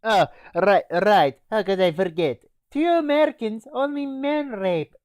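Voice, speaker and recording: A woman's voice: medium pitch, a little slow, darkish tone, dry recording, close to an everyday voice. A brash Russian military man, acted out by a woman in a fan comedy. The accent is Russian and the phrasing clipped and blunt. He cuts in mockingly, cocky and sure of himself, on a dry recording. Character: blunt, cocky Russian male soldier, game operator.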